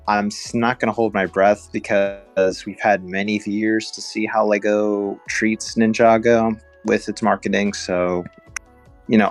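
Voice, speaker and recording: A man co-hosting a casual fan podcast, thinking aloud in an informal, hedging way. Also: fairly low pitch. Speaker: podcast co-host.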